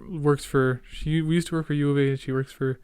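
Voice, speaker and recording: A man's voice: on the high side, fairly even intonation, fairly quick, a little mellow, smooth, no echo, studio quality. A young man, chatting casually and in a rambling way as he hosts a solo podcast. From Canada. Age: late teens, young adult. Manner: rambling, self-interrupting, youthful slang. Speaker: podcast host. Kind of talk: casual solo chat, reminiscing.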